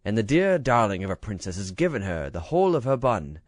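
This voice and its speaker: A man's voice: on the low side, brisk, dry recording. Man doing a gushing, fawning old governess. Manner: gushing, flattering. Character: fawning fussy woman governess.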